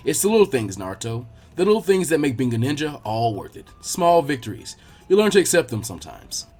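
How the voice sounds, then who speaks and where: A man voicing a laid-back, gruff grown ninja teacher with a dry, unhurried manner. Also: mid-range voice, big pitch swings, darkish tone, soft background music. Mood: wry encouragement.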